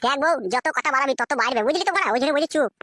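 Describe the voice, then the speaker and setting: A performer voicing an exasperated, crude village husband in a comedy cartoon, a pitched-up, sped-up voice and a blustering, coaxing manner. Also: very fast, bright, forceful tone. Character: exasperated, crude village husband caught between two wives.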